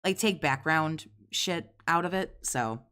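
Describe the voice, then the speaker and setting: Woman, low-pitched, loud, sarcastic, swearing, live-stream commentary, desk condenser mic. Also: animated, measured pace. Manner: sarcastic, profane, loud and mocking. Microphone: desk USB condenser mic with pop filter.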